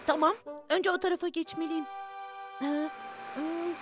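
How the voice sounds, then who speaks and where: A tiny, excitable boy bus from a children's cartoon, speaking in a very high voice at an unhurried pace. Loud music runs underneath. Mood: anxious, determined. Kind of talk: animated children's cartoon dub.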